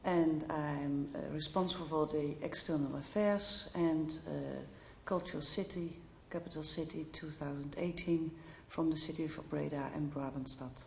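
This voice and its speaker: A woman's voice: deep voice, fairly even intonation, normal speed, creaky, hardly any pauses, a little mellow, a little hiss or hum. A woman introducing herself at a round-table meeting, listing her responsibilities in a businesslike way. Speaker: regional external affairs officer. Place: large council hall. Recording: echoey hall with faint ambience.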